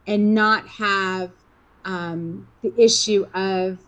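A woman's voice: mid-range voice, fairly even intonation, drawn-out words, a bit bright, lo-fi sound. Fifties woman, interview guest, rambling conversational delivery. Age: 50s. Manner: rambling, many fillers and restarts.

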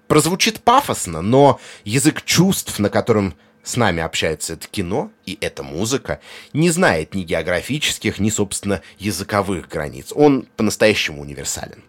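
A man's voice: on the low side, sing-song, normal speed. A man hosting a podcast, reading a scripted essay in an articulate, emphatic way. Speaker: podcast host.

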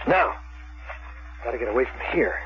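An actor playing an anxious, protective man on the run, speaking haltingly in a radio drama. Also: medium pitch, lightly acted. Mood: anxious, urgent. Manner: halting, repetitive. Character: anxious, protective lover on the run with his woman. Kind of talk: 1970s radio drama acting. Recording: muffled radio recording, faint noise.

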